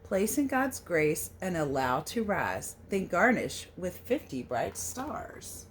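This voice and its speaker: Female teacher for children's read-aloud: expressive, questioning, very varied pitch on the lower side, slight noise behind. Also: slow pace. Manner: animated, questioning, sing-song verse delivery. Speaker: teacher reading a picture book to children. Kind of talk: expressive read-aloud with casual asides to young listeners.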